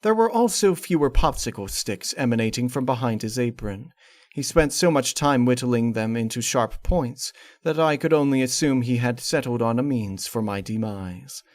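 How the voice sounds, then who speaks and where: Male narrator for gothic horror fiction: mid-range voice, measured and formal, very dry recording. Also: mid-range voice, expressive, darkish tone, no room sound. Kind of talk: dramatic scripted story reading.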